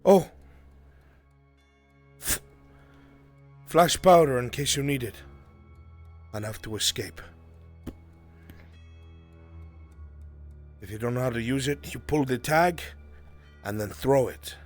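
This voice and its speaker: Grave, commanding male conspirator played by a man briefing hired agents in fantasy roleplay; mid-pitched, deliberate, music underneath. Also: lively intonation, close to an everyday voice. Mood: urgent, determined. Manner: deliberate, commanding, gives instructions. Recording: music behind the voice. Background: background music.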